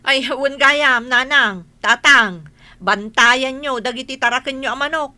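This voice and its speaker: A woman's voice: high-pitched, a little slow, sharp tone, full character voice. A woman playing a practical, reproving grown daughter in a comedy radio drama, insistent and matter-of-fact.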